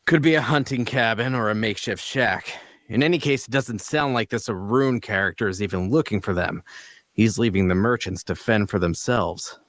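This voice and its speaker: A man's voice: on the low side, moderate pace, fairly bright tone, close to an everyday voice. A man playing a shrewd, blunt adventurer for hire in a fantasy audio drama, probing and matter-of-fact. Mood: blunt, scheming. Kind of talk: audio drama table-read dialogue.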